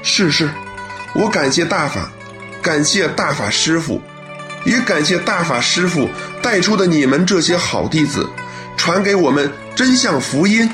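A man's voice: fairly high pitch, lively intonation, measured pace, over music. A man acting in a radio play as a grateful, earnest son telling of his mother's recovery. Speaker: radio drama actor.

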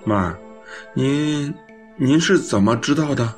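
A man playing a guilty, devoted young son in a radio drama, earnest, halting and pleading. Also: mid-range voice, lively intonation, soft background music. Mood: evasive, nervous. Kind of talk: Chinese radio drama acting.

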